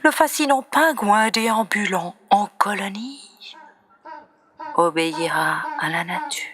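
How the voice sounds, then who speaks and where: A woman reciting poetry in a breathy, often near-whispered voice, with solemn, dramatic and deliberate phrasing. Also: mid-range voice, animated, a bit bright. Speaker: poet reciting own poems. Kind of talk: slow expressive poetry recitation.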